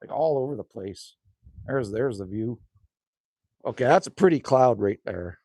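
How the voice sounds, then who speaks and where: A man's voice: mid-range voice, expressive, normal speed, dark tone, no room sound. A man, chatting casually and rambling with viewers as a livestream host outdoors by a rural cabin. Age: 50s. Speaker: livestream host reading chat.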